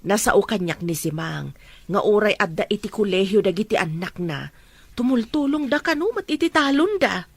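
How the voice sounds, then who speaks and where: A woman's voice: mid-range voice, lively intonation, moderate pace, breathy edge, dry recording. A middle-aged woman with an Ilocano accent playing a firm, nagging farm mother in an amateur family drama. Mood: complaining. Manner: insistent, scolding.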